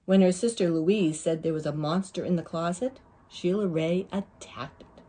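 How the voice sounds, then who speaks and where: A woman reads a children's story at an unhurried pace. Her voice is soft-edged and mellow with a little huskiness, and she delivers the tale expressively, as if to young listeners. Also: fairly low pitch, slightly rough. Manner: deliberate, pausing to ask listeners questions. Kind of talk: expressive picture-book read-aloud for young children.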